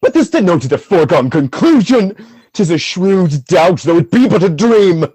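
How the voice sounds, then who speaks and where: A man playing a jealous, tormented general in a Shakespearean radio drama, husky and declamatory, swinging between hushed brooding and outcry. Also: high-pitched, sing-song, normal speed, dry recording, heavily acted. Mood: vengeful fury.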